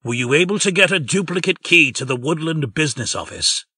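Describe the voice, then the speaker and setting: A man voicing a stern talking-animal rebel leader with a raspy voice and a grave, forceful delivery in a comic dub. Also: a little high, animated, fairly quick, no echo, clean studio sound, exaggerated voice. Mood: scheming. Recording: distant mic, music behind.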